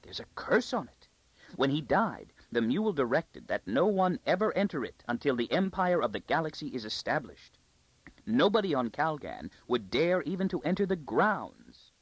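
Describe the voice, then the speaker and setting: A man putting on a vague, high-voiced noblewoman. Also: very animated, moderate pace, slightly rough, no room sound, low-quality recording. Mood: hushed.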